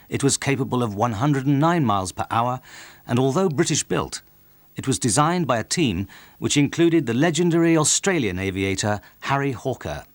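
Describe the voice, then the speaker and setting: A man's voice: medium pitch, dry recording. A man reading scripted narration for a documentary as its narrator.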